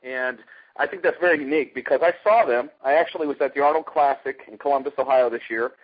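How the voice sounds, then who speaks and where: An American man, middle-aged, giving an informal, emphatic sales pitch as a network marketer, telling stories and asking rhetorical questions. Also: quick pace, slightly rough. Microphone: telephone conference call. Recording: muffled, dull conference phone line.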